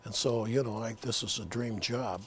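An older man from Colorado, a landscape artist, reminiscing in an interview in his art studio, with rambling, halting speech full of fillers and restarts. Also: fairly low pitch, heavy background noise. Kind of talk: reflective interview talk.

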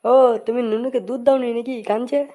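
A woman's voice: a little high, flat delivery, fast talker, dark tone, close to an everyday voice. A woman voicing a grumpy, foul-mouthed village father in a comic cartoon, talking in blunt, scolding slang. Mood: puzzled. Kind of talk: comic Bengali cartoon dubbing.

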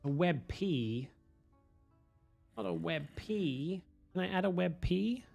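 A man, streaming a game from home on a headset, hoarse from a cold, swearing and joking with chat. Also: high-pitched, lively intonation, slow pace, darkish tone, soft background music. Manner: swearing, self-mocking, chatting to viewers. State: hoarse, losing his voice from a cold. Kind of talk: casual live-stream chatter while playing.

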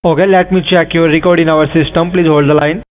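A man with a fairly high voice delivers scripted instructions in a formal, insistent way. He comes through a thin, tinny phone line. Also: fairly even intonation, fairly quick. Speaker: scam caller posing as an officer.

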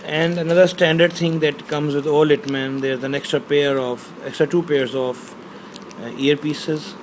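A man's voice: fairly high pitch, fairly even intonation, normal speed, a little hiss or hum. A man, an Urdu speaker, casually unboxing a product on a handheld phone camera indoors, often hesitating with fillers. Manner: hesitant, many fillers, reads box labels aloud. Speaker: unboxing reviewer. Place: indoors, small bedroom.

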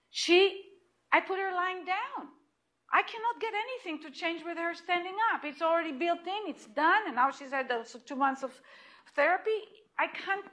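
High-voiced Israeli woman lecturing. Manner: animated and emphatic, with restarts and repeated points. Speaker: lecturer at hospital grand rounds. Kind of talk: lecture presentation. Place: lecture room, indoors.

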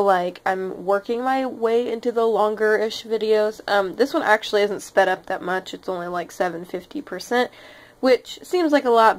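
Nasal young woman kinda sick, rambly chatty voiceover with lots of ums. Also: mid-range voice. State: sounds sick. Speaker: art channel host. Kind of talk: casual voice-over chat over a speed paint.

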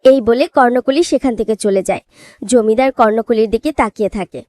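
A woman's voice: fairly high pitch, normal speed, darkish tone, a little hiss or hum. A woman reading scripted fairy-tale narration as the storyteller.

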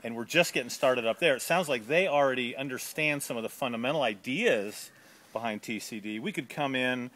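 A man's voice: medium pitch, a little fast, fairly bright tone. A man interviewing staff outdoors in a garden, upbeat and casual, leading the questions.

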